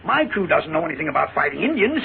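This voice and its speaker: An actor playing a gruff, blustery, excitable riverboat captain in an old radio western. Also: male voice, a little high, fast. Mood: worried. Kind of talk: 1940s radio western acting. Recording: noisy, tinny 1940s radio recording.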